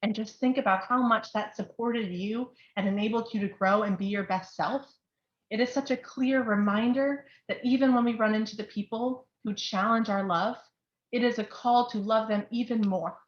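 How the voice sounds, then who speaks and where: Young female church speaker, high voice, earnest and affectionate, informal heartfelt message, echoey room. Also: fairly even intonation, moderate pace. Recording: roomy echo, dull lo-fi sound.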